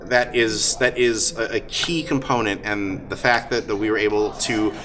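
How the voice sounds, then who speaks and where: A man hosting a live event as game master, talking off the cuff in a halting way and often repeating words. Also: on the low side, fairly even intonation. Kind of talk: unscripted talk to a live audience. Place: busy gaming convention. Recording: live convention hall, heavy crowd noise.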